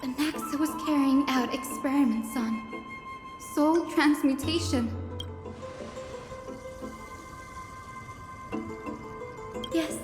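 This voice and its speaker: Actress as earnest young game heroine working out clues, high voice, lots of reverb, music behind. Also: female voice, lightly acted. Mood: grave, worried. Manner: deliberate, dramatic reasoning aloud. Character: earnest, sharp-minded young woman investigator piecing clues together. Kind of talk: scripted video game voice acting. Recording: echoey hall reverb under loud music.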